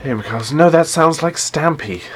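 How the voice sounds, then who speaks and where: A man with a deep voice chatting casually while playing a game live, often stumbling and repeating words. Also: lively intonation, a little slow, noisy background. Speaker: gaming live streamer.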